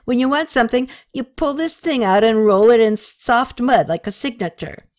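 A woman voicing a giddy, drunk young man who rambles and slurs with excitement. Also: on the high side, normal speed, voice pushed up, close to an everyday voice. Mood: tipsy excited.

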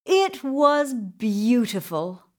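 Female children's storyteller: high-pitched, animated, expressive, lingering on dramatic pauses. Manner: expressive, deliberate, dramatic pauses for young listeners. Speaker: storyteller reading a children's book. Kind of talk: scripted children's story narration.

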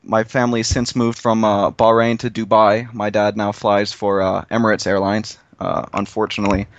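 A young man from Canada telling his story on a podcast in a relaxed way, dotted with ums. His voice is fairly low. The audio is dry and dull, a bit lo-fi. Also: moderate pace, no room sound. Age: young adult. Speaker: podcast guest. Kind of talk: casual podcast chat.

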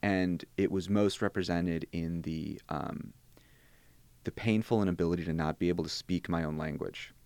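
Grad student, twenties, native Bosnian speaker, low gravelly voice, thoughtful and wry. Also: male voice, fairly even intonation, moderate pace, darkish tone, dry recording. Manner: thoughtful, restarts phrases, wry asides. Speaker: interviewee, graduate student. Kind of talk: conversational interview.

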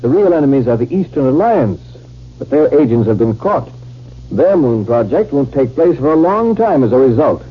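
An actor playing a smooth, duplicitous army captain in a 1950s radio drama. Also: male voice, mid-range voice, sing-song, normal speed. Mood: soothing, patronizing. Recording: muffled, hissy 1950s radio recording.

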